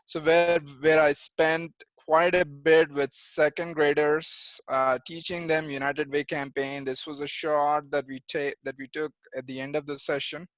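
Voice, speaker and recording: A man in his thirties from India talks to schoolchildren over a video call, his voice a little raised in pitch. The sound is close and dry, with no room echo at all. He explains slowly and simply, stopping and restarting often as he looks for easy examples. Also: flat delivery, a bit bright. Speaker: guest engineer and project manager. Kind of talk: presentation for young children.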